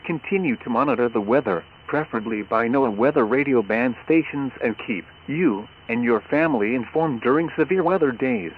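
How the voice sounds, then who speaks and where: A synthetic man's voice reads out a weather radio bulletin in a steady, measured, instructional manner. It sits at an ordinary middle pitch for a man. The sound is squeezed thin, like a phone line, with a faint hiss underneath. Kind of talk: automated weather broadcast.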